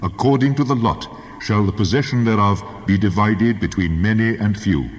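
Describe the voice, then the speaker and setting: A man's voice: on the low side, moderate pace. A man playing God in a dramatized Bible reading, solemnly declaring law with authority, his voice in a cavernous reverb. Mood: solemn, commanding. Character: God, commanding male deity giving law to Moses. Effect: long cavernous reverb. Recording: long hall reverb, clear noise behind.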